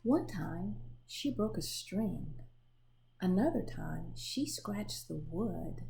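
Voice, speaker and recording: Slow, mellow woman reading a storybook. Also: on the low side, creaky, low-quality recording. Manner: expressive storytelling with pauses to show the pictures. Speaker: storybook reader. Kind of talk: children's picture book read aloud.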